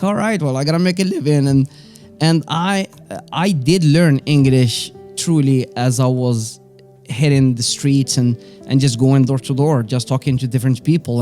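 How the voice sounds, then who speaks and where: A man's voice: a little high, darkish tone. A man in his forties with a Moroccan accent, a native Arabic and French speaker, casually telling personal stories as an interview guest. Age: 40s. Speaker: interview guest, business owner.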